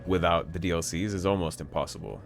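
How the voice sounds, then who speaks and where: Casting: young male gamer, deep voice, easygoing let's-play commentary, music bed under him. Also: fast. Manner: laid-back, chatty, mildly profane. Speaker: gaming video host. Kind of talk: casual gameplay commentary.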